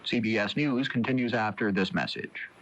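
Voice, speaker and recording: A man's voice: lowish voice, moderate pace, a loud crowd. American newsman on dull old radio tape. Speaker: radio network news correspondent. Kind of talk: scripted news reading.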